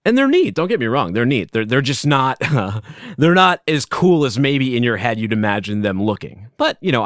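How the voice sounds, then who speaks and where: A man hosting a solo podcast from his basement, talking loudly and casually, rambling and chuckling as he goes. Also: mid-range voice, no echo, clean studio sound. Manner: animated, rambling, self-interrupting, chuckles often; loud voice. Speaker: podcast host. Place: home basement.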